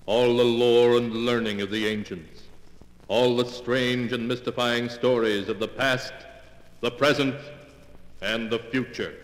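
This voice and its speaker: An actor playing a solemn announcer of a 1940s radio mystery show, speaking in a grandiose, measured, portentous way. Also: male voice, medium pitch, steady tone, natural voice. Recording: old radio recording, long reverb.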